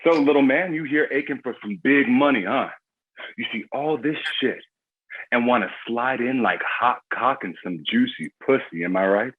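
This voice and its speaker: A man's voice: mid-range voice, moderate pace, slightly rough, lightly acted. Man playing a cocky, menacing crime boss, muffled sound. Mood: taunting. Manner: swaggering, slangy street talk. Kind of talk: screenplay table read acting.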